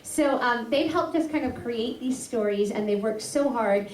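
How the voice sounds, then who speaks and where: A woman's voice: a little high, normal speed, darkish tone. A woman, a teacher introducing a student show, gives an informal welcome speech to an audience. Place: small performance venue, recorded from afar. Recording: roomy, distant, faintly noisy audience recording.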